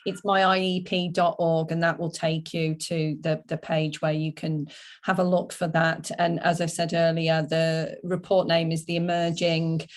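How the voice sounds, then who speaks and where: A woman's voice: low voice, little pitch movement, a little slow. A woman hosting a webinar, moderating and reading out comments and questions from attendees. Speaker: webinar host.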